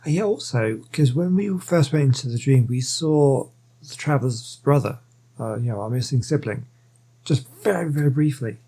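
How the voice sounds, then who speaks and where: A man's voice: medium pitch, lively intonation, normal speed, dark tone, breathy edge. A man commentating on a video game as he plays, thinking aloud and reading dialogue choices out. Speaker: game streamer. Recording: game music behind the voice.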